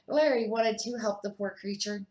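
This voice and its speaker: An older woman reads a children's storybook aloud. She is a grandmother with an expressive, unpolished delivery and a medium-pitched voice. The room around her is clearly echoey. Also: normal speed.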